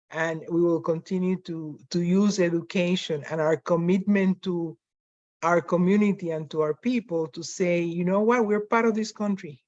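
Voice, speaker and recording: A woman's voice: lowish voice, mellow, no room sound. An older woman with a Spanish accent, speaking reflectively on a panel over a video call, often restarting her sentences. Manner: reflective, with frequent restarts and fillers. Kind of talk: conversational panel talk. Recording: dry, dull lo-fi call audio.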